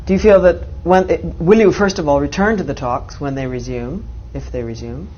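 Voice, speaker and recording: A woman's voice: low-pitched, moderate pace, mellow, husky. Probing female interviewer, dull archive audio. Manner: probing, direct. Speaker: interviewer, journalist. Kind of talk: formal news interview questions.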